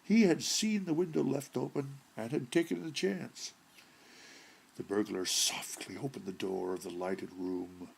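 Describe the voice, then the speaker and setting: A man narrating a short story from a script with deliberate, theatrical delivery and a gravelly, husky voice. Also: mid-range voice. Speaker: audiobook narrator.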